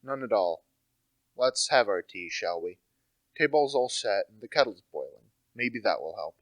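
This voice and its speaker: A young man playing a precise, brooding, intellectual gentleman detective in a radio play, deliberate and theatrical in delivery. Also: lowish voice, animated, no room sound, close to an everyday voice. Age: young adult.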